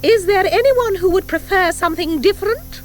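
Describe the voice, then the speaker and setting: A woman voicing a stern, formidable schoolmistress in an audiobook, speaking crisply, precisely and with command. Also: extremely high pitch, normal speed, soft background music, pitched above the performer's own voice. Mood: authoritative. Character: stern, formidable, brisk schoolmistress at a witches' academy. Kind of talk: audiobook character acting. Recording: 1984 audiobook from cassette.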